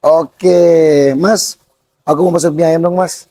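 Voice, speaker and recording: A hoarse man, excitedly vlogging about street food outdoors at a stall and calling his viewers "guys". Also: a little high, slow pace, music in the background. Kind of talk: casual street-food vlog.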